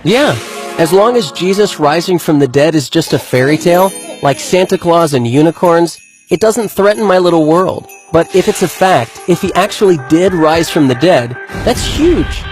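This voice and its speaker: A man's voice: on the high side, lively intonation, normal speed, faint music behind, close to an everyday voice. An actor playing an earnest male believer who explains his case methodically and articulately in a scripted skit.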